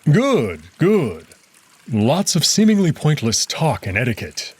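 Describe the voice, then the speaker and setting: A man voicing a gentle, devoted male wolf nobleman who speaks softly and formally. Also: on the high side, huge pitch swings, slow, breathy edge, voice pushed up, heavily acted. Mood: tender. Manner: soft-spoken, caring, formal.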